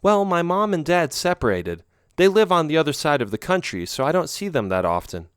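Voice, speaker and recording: A man's voice: fairly high pitch, very animated, fairly quick, smooth, dry recording, clean studio sound, pitched above the performer's own voice. A man voicing an emotional, lovesick young heroine in a read-aloud romance story. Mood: earnest.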